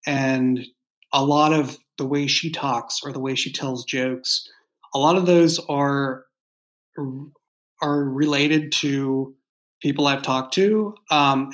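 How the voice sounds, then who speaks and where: A man in a casual behind-the-scenes discussion, talking as a writer and explaining things in a halting way, often backing up to rephrase. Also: mid-range voice, fairly even intonation, low-quality recording. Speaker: fiction podcast writer and creator.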